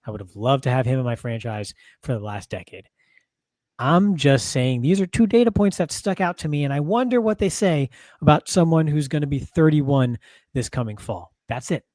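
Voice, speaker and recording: A man's voice: medium pitch, lively intonation, moderate pace, darkish tone, no echo, clean studio sound. A man co-hosting a football podcast in casual chat, wry and teasing, reasoning through his points. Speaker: podcast co-host, football analyst.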